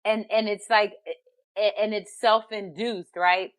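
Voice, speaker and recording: A woman's voice: mid-range voice, steady tone, unhurried. A woman hosting a podcast, talking in a hesitant way with many fillers and restarts. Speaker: podcast host. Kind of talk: casual conversation.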